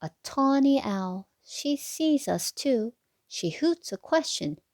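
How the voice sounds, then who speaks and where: Female storybook reader, unhurried and expressive, slight creak in the voice, close dry sound. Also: high voice, no room sound. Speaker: read-aloud narrator for children. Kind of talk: children's picture book read-aloud.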